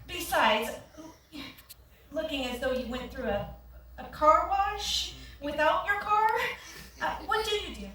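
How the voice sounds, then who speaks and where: A woman on stage playing a friendly, bookish nurse on a date, speaking in an eager, halting way in a staged play reading. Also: high voice, bright, forceful tone. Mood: curious. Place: theatre stage, recorded from the audience. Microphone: far camera. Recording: echoey theatre, distant camera, faint noise.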